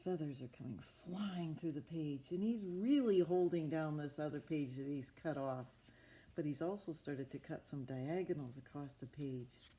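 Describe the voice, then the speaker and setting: Woman w a low mellow voice making up a kids picture book story, expressive, full of wonder, talking like its for little kids. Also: moderate pace. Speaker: storybook narrator.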